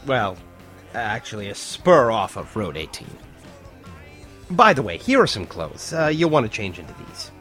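Actor doing an earnest professor guy, lively pitch, hesitant, faint music behind. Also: normal speed, exaggerated voice. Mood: earnest, explaining. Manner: hesitant, filler-laden, explanatory. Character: earnest, hesitant male science professor with a secret. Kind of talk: audio drama acting.

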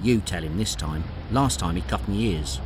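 A man performs a bickering Cockney henchman for an audio play. His delivery is cheeky and mock-polite with rough grammar and a lively, swinging pitch. There is a little noise behind the voice. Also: normal speed. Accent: Cockney English.

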